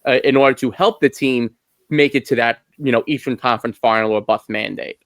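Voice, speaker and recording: A man co-hosting a sports podcast, putting questions conversationally in measured, deliberate phrases. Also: medium pitch, a bit bright, no room sound, clean studio sound. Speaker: podcast co-host.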